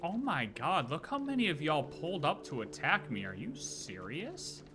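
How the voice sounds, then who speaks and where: A man's voice: on the high side, huge pitch swings, normal speed, music in the background. A man streaming a game live, reacting in a casual, joking way and swearing freely.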